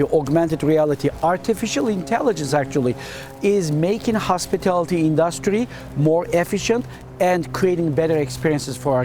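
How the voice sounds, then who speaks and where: A man from Turkey with a Turkish accent, a hospitality dean answering quick interview questions earnestly and often repeating words as he searches for phrasing. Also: a little high, dark tone.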